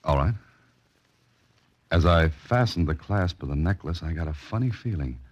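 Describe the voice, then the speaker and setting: A man's voice: sits low, expressive, moderate pace, husky, highs cut off. An American actor playing a tough, wry amateur sleuth who narrates his own case in a clipped 1940s radio mystery style. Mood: dryly amused. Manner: clipped, wry, hard-boiled. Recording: old radio recording with music.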